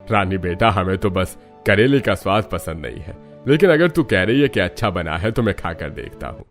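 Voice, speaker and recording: A man's voice: low voice, animated, normal speed, soft background music. An actor playing an older father-in-law in a cartoon family drama, paternal, emphatic, kindly but firm. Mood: warm, delighted.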